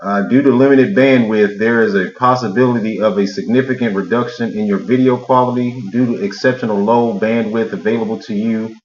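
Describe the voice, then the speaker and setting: A man speaks in a low voice over an echoey, muffled video call. He guests on a live show and talks haltingly, reading out error messages from his screen. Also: fairly even intonation. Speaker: guest actor.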